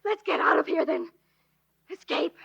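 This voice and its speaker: A woman's voice: extremely high pitch, moderate pace, gravelly, fairly bright tone, full character voice. A tearful saloon girl. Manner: tearful, breathless. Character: young saloon girl, emotional and devoted. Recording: dull 1949 radio broadcast.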